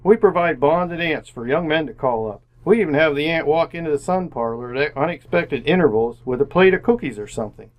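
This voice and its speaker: A man's voice: a little high, expressive, brisk, hardly any pauses, muffled recording, natural voice. A man acting in an old-time style radio drama as a slick, chummy salesman, glib and emphatic. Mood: brash, pleased. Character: slick, chummy romance-agency salesman with boundless confidence.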